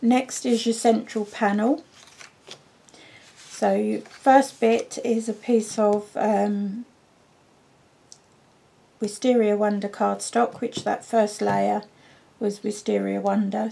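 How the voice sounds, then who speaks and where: A woman, croaky from a cold, chatting her way through a card-making tutorial with frequent ums. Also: mid-range voice, level tone, slow, long pauses, dark tone. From United Kingdom. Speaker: craft demonstrator.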